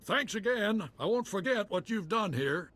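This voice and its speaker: An actor playing a wry, folksy militia commander on a frontier world in a video game. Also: male voice, high-pitched, expressive, normal speed, fairly bright tone, a little hiss or hum. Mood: pleased, grateful. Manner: folksy, clipped colloquial speech.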